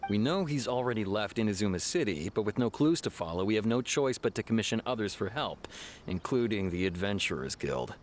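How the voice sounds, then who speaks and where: A man's voice: fairly low pitch, big pitch swings, brisk, a little hiss or hum. A man voicing a stern, self-assured law officer in a video game who talks in a firm, matter-of-fact way. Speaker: official pursuing a suspect. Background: game music.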